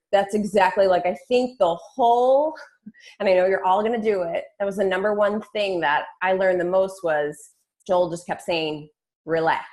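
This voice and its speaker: A woman with a mid-pitched voice chats casually as she hosts a team call. She talks like a fitness coach among friends, informal and slangy. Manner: chatty, informal, peppered with slang and swearing. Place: online group call.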